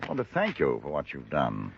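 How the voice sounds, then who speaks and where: A man's voice: extremely low pitch, wildly swooping pitch, fairly quick, vocal fry, full character voice. A man acting a haughty, self-righteous, well-off in a 1950s radio detective drama, with a pompous manner. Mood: smug. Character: indignant, haughty male robbery victim, well-off and ruthless. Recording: muffled old radio recording, faint noise.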